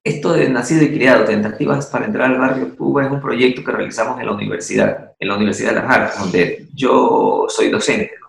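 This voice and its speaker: Gravelly man, echoey remote call. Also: mid-range voice. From Ecuador. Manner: thoughtful, hesitant, many restarts and fillers. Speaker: artist and university teacher. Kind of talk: interview guest, reflective storytelling.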